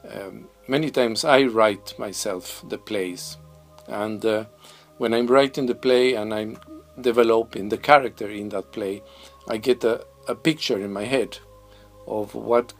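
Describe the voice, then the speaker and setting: A middle-aged man from Peru, answering interview questions in a thoughtful, halting way, with many pauses and restarts, seated in his mask and puppet workshop. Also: mid-range voice. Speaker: theatre director and mask maker. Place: workshop full of masks and puppets, indoors.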